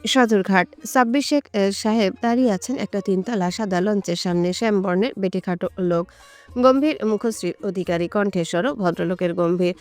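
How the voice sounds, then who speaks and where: A woman's voice: mid-range voice, brisk, a little mellow, soft background music. A woman reading a scripted romantic story as its narrator.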